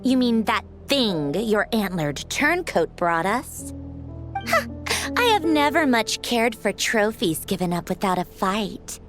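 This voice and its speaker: A woman's voice: mid-range voice, sing-song, slow, music in the background. A woman playing a haughty, playfully menacing villainess commander in a video game, with a mocking, theatrical, languid manner.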